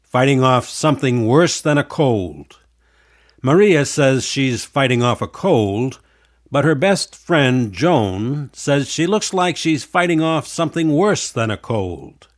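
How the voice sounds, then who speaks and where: A man narrating a scripted English lesson in a measured, clearly enunciated way. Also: medium pitch, animated.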